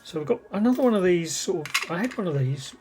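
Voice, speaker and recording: A man's voice: high voice, animated, quick pace, background noise. A British man chatting casually and unscripted as he shows items on his vlog, rambling and musing aloud. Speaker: vlogger showing vintage cassette gear. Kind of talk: casual unscripted unboxing chat.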